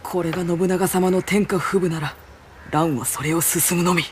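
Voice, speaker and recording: A woman's voice: lowish voice, fairly even intonation, moderate pace, a little mellow, slight background noise, close to an everyday voice. A woman voicing a polite, resolute young samurai retainer in a video game, formal and earnest. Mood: cold, resolute. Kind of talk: Japanese video game cutscene acting. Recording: music under the dialogue.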